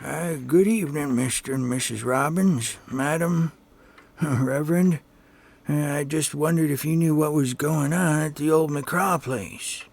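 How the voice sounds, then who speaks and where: A man playing a polite, spooked neighbor in an amateur radio drama, hesitant and halting. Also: fairly high pitch, creaky, a little mellow. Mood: polite, uneasy. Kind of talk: amateur old-time radio drama acting.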